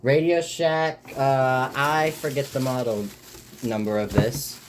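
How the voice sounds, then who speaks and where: A host chatting informally and a little haltingly while unboxing items at a desk, with wry exasperation. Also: medium pitch, fairly even intonation. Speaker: host unboxing weather radios. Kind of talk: casual live chat and unboxing. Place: at a desk indoors. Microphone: desk microphone set a bit low. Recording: noisy desk microphone with clicks.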